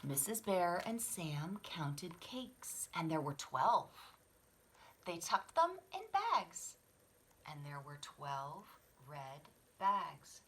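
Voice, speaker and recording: A woman reading a picture book aloud to children, dramatically, moving between hushed whispers and sudden swells. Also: lowish voice, sing-song, extremely slow, a little hiss or hum. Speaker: children's library story reader.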